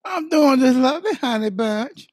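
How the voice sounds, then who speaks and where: A man's voice: extremely high pitch, animated, no room sound, clean studio sound. A man co-hosting a podcast, playful and teasing in casual banter. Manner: playful, teasing, fast-talking. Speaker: podcast co-host.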